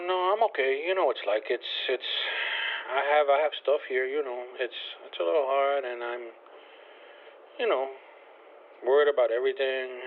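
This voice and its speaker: A man's voice: medium pitch, a little hiss or hum. An older man with a Spanish accent, talking casually, hesitantly and politely on a phone call, often repeating himself. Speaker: call recipient. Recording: tinny narrow phone line.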